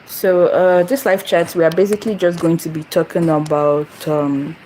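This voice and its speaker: A woman's voice: fairly low pitch, level tone, normal speed, darkish tone, slight background noise, poor audio. A young woman from Nigeria hosting a casual phone livestream, rambling and repeating herself as she greets viewers. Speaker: channel host. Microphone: phone camera.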